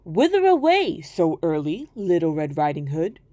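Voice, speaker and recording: Storybook villain: crafty male wolf, played by a woman, pitched down, coaxing, scheming, greedy for a meal. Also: wildly swooping pitch, normal speed, mellow, dry recording, studio quality. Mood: sly, probing. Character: crafty, hungry male wolf, smooth-talking and wicked.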